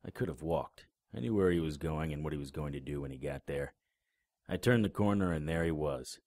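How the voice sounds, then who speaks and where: An actor in an audio drama playing a cynical, sardonic, hard-boiled private investigator with a terse delivery. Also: male voice, extremely low pitch, brisk, a little mellow, no echo, clean studio sound. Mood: grim narration. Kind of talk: audio drama acting with noir narration.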